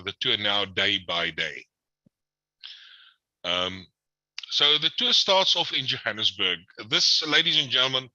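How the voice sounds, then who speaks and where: A man's voice: medium pitch, bright, forceful tone, no echo, highs cut off. A man from South Africa with an Afrikaans accent, presenting a tour in a webinar, hesitant with many ums. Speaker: tour operator guest.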